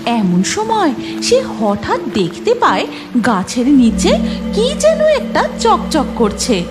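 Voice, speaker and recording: A woman's voice: sits high, huge pitch swings, slow. A woman storyteller reading a scripted narration for a children's cartoon tale. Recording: music behind voice.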